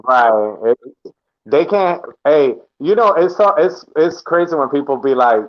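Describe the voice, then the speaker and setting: A man from Puerto Rico, a guest on a podcast, chatting casually in a relaxed, rambling way full of fillers. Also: a little high, unhurried, dull audio.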